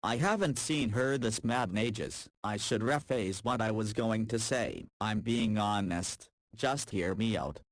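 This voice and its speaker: A man's voice: lowish voice, a little slow, hardly any pauses, no room sound, close to an everyday voice. A young man voicing an apologetic teenage son in a visual novel, pleading and sheepish. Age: young adult.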